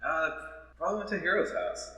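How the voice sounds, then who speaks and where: A man's voice: high voice, brisk. A man chatting casually during a tabletop roleplaying game. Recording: roomy distant mic with noise.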